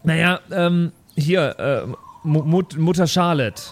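A man's voice: on the high side, slow. A man improvising in-character in a role-playing game, earnest and often stammering over his words. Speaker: player in a pen and paper audio show.

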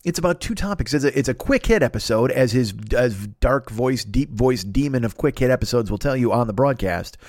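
A man hosting a podcast in a rambling comic monologue, loud-mouthed, digressive, self-deprecating and freely swearing. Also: medium pitch, expressive, brisk, hardly any pauses, no room sound, clean studio sound. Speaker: podcast host.

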